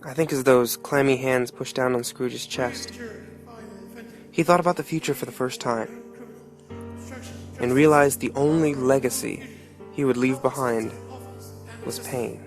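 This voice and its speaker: Music plays under a man acting a wry male storyteller with a mid-pitched voice. He narrates a comic tale in a folksy, streetwise manner, reflecting as he goes. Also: steady tone, measured pace, pauses often, natural voice. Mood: somber, reflective. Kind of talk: colloquial scripted narration of motion comic.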